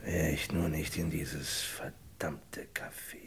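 A man acting an anxious, feeble male patient in a radio crime play, halting, in a breathy croaky half-whisper. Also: extremely low pitch, level tone, full character voice. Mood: brooding, regretful. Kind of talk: 1950s-80s German radio crime play.

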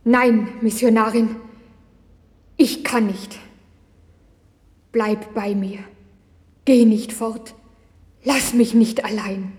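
Actress plays desperate young female leprosy sufferer, dramatic and anguished, subdued dark tone, reverberant vintage record. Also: a little high, fairly even intonation, drawn-out words, pauses often. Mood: frightened, pleading. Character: despairing young Chinese woman with leprosy, losing her faith. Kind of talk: religious audio drama acting. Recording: long hall reverb, vintage vinyl record.

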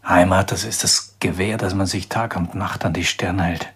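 Low-voiced, dry private detective. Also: male voice, steady tone, moderate pace, a bit bright, slightly rough, exaggerated voice. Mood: wistful, brooding. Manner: laconic, dry. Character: wry, melancholy Viennese private detective, laconic man. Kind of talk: radio crime drama acting.

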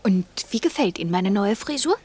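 An actress plays a polite, reserved wife and mother in an intimate radio play. Her medium-pitched voice is hesitant and softly questioning. Faint noise sits behind it. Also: lively intonation, brisk, close to an everyday voice. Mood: playful, prodding. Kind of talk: intimate German radio drama acting.

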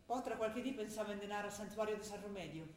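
An actress voicing a determined young daughter with a Trentino accent who speaks quickly and firmly. She is heard from a distance on a very echoey stage, with faint noise and a low rumble behind her. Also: natural voice. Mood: quiet, firm. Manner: quick, firm and quietly defiant. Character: young woman, a determined daughter just graduated. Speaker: stage actor. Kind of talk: theatre drama broadcast on radio.

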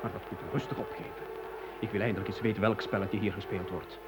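A man's voice: lowish voice, steady tone, fast talker, natural voice. Guy playing a stiff, huffy official type, radio play, bit of hiss behind. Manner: indignant, formal and officious. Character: stiff, touchy man named Kwartel.

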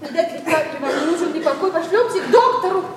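Proud, impulsive young lady in love; very high voice, emotional verse delivery, roomy distant sound. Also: lively intonation, fast talker. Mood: anxious, defiant. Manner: emotional, theatrical, openly confiding. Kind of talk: classic Russian stage verse, radio play acting. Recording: roomy distant radio play, faint noise.

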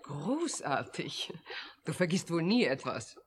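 A woman's voice: low voice, big pitch swings, takes it slowly, creaky, full character voice. An actress playing a proud female scientist in a science-fiction radio play, instructive and motherly toward her creation. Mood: encouraging. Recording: noisy vintage radio drama recording.

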